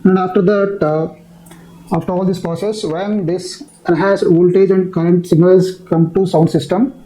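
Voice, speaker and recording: High-pitched male lecturer, halting and mellow. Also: steady tone, a little hiss or hum, poor audio. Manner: halting, repetitive delivery. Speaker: teacher. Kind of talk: explanatory technical lecture.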